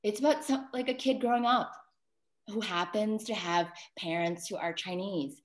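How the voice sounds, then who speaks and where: A woman's voice: mid-range voice, moderate pace. An American woman, a solo performer, speaking reflectively in a discussion, hesitating and correcting herself as she thinks aloud. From New York City, United States. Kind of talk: reflective post-show discussion. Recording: roomy, echoing sound.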